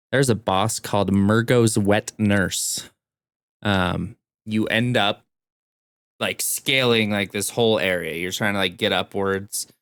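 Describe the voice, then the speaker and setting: A man's voice: fairly low pitch, fairly bright tone, smooth, dry recording, clean studio sound. A man co-hosting a casual gaming podcast, telling stories in an informal, enthusiastic way. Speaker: podcast co-host. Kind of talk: casual chat about video games.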